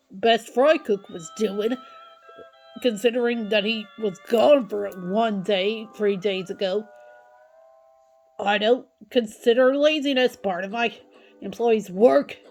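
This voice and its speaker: A gruff old sailor of a cartoon crab boss, acted by a woman. He grumbles slowly and haltingly in pirate-like phrases, over a music bed. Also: a little high, darkish tone. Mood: stern.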